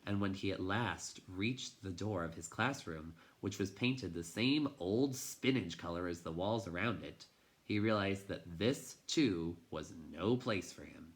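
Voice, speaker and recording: A man reading a children's novel aloud in an expressive, dramatic way, moving between hushed and intense delivery. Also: low voice, a little slow. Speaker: teacher reading a storybook aloud.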